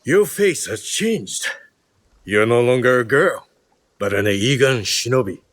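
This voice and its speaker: An actor playing a grave, battle-hardened older samurai lord in a video game, speaking with measured authority. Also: male voice, mid-range voice, animated, fairly bright tone, dry recording. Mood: grave, commanding. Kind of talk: video game cutscene acting.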